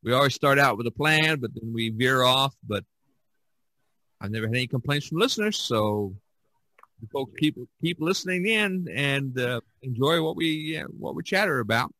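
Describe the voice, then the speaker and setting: A man's voice: mid-range voice, expressive, moderate pace, pushed and bright, dry recording, low-quality recording. A man hosting a podcast in a casual, chatty style. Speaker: podcast host.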